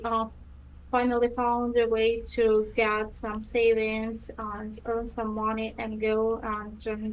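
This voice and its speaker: Woman, Ukrainian accent, Russian native speaker, thirties, slow, monotone, hesitant, interview guest. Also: fairly high pitch, rough recording. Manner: hesitant, many ums and restarts. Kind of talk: interview answers.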